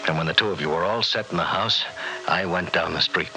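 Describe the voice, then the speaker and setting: An actor playing a frantic, guilt-ridden American newlywed schemer in an old radio horror drama, muttering obsessively and repeating himself. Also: male voice, sits low, a little fast, over music, rough recording. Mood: bitter, scheming. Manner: obsessive, repetitive, agitated muttering and confessing.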